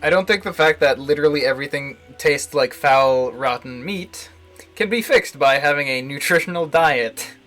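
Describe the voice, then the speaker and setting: Male streamer, bright forceful voice, casual game commentary, wry halting phrasing, music behind. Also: a little high. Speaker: streamer playing a visual novel. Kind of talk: casual live playthrough commentary.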